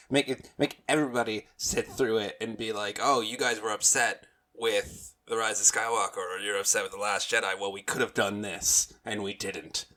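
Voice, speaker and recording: A man's voice: fairly low pitch, pushed and bright. A man co-hosting a casual fan podcast, talking emphatically and often repeating himself. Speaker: podcast co-host.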